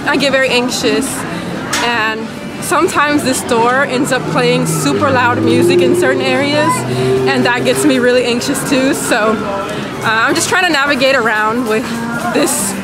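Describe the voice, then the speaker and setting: A young woman talking candidly to a handheld camera in a casual vlog, soft-spoken with frequent ums, filming on a bus and in a supermarket. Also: high-pitched. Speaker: vlogger. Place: busy public places in a city, on a bus and in a crowded supermarket. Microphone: handheld self-filming camera. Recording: loud crowd and street noise. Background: crowd chatter and traffic noise.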